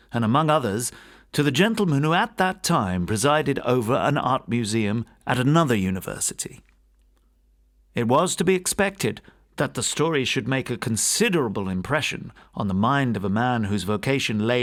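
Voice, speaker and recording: A man narrating a classic gothic ghost story in a measured, formal, theatrical storyteller's manner. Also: mid-range voice. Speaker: audiobook narrator and podcast host.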